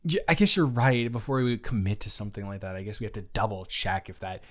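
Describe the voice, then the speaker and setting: A man's voice: lowish voice, natural voice. Man playing a smitten, rambling young roommate. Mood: playful, affectionate. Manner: halting, rambling, stammering, emphatic bursts. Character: smitten, awkward, playful young male roommate confessing love. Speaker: audio roleplay performer. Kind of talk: improvised romantic audio roleplay, intimate one-sided conversation.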